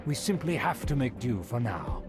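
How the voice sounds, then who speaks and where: A man's voice: medium pitch, sing-song, normal speed, over music. A man voicing a haughty young nobleman in a video game, formal and condescending. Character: haughty young male nobleman, proud of his clan.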